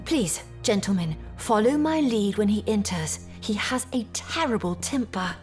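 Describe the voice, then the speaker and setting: A woman's voice: medium pitch, lively intonation, measured pace, faint music behind, natural voice. An actress playing a polite, anxious young Victorian lady, earnest and apprehensive, in a period audio drama. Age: young adult. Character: anxious, polite young Victorian bride-to-be fearing her stepfather.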